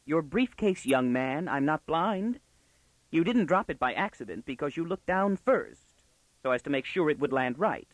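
A man's voice: a little high, sing-song, moderate pace, no echo, voice pushed up, low-quality recording. A man voicing a precocious, self-assured young girl in a storybook, prim, bossy and easily indignant. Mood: smug, bossy.

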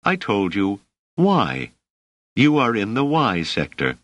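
A genial, motherly middle-aged lady of a storybook played by a male reader. The pitch is lifted and lilts freely, with gracious, chatty courtesy. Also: wildly swooping pitch, slow pace, dry recording, muffled recording, exaggerated voice. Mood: pleasantly amused. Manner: gracious, sing-song, politely chatty. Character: plump, merry, motherly middle-aged woman, genial hostess.

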